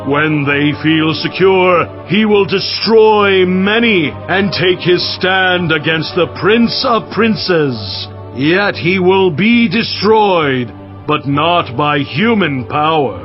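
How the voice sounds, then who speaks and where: An actor playing a commanding angel messenger, declaiming solemnly in a dramatized scripture reading. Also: male voice, high-pitched. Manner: slow, declamatory, portentous. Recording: dull audio under background music.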